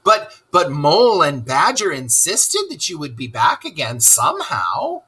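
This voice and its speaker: A man voicing a stern, excitable male water rat who scolds emphatically in a children's storybook read-aloud. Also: on the high side, a little slow, husky, full character voice. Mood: earnest, excited.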